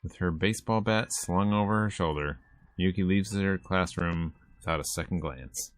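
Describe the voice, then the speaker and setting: A man's voice: deep voice, normal speed. A man streaming a visual novel, reading the narration casually and adding wry, informal asides. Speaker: gaming streamer.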